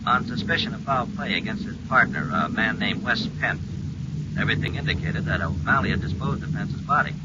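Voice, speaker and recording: A dutiful male base control officer reporting quickly and formally in an old radio space serial. The voice is muffled under loud roaring noise. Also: on the low side, expressive. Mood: official. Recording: noisy muffled radio transmission, loud roar.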